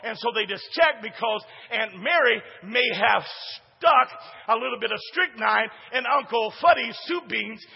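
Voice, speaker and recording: A man preaching a sermon as pastor in a church, with a big booming voice that swings from hushed asides to shouting and calls out to the congregation for responses. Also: expressive, moderate pace, gravelly, husky, long reverb tail, dull audio. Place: church sanctuary.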